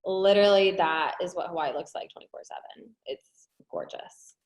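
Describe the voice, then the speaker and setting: A woman's voice: fairly low pitch, moderate pace. A woman from the United States answering as an interview guest in a candid, earnest, casual conversational way. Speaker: interview guest, wedding planner.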